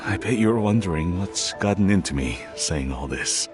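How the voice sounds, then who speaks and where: An actor playing a middle-aged father in a video game, gruff but softening and plainspoken, proud of his son. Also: low voice, lively intonation, moderate pace, music in the background. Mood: earnest, reflective.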